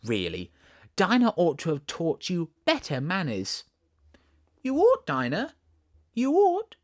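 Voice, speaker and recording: A man's voice: high voice, wildly swooping pitch, takes it slowly, pitched above the performer's own voice, full character voice. A man voicing a playful young storybook girl, chattering and mock-scolding her kitten with affection.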